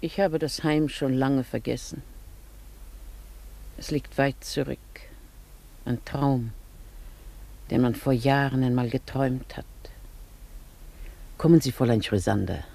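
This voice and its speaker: A woman's voice: extremely low pitch, unhurried, vocal fry, pauses often, mellow, full character voice. An actress playing a genteel upper-class married lady in a German radio drama, composed and formal in manner, holding on to an elegant past. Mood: wistful, dreamy.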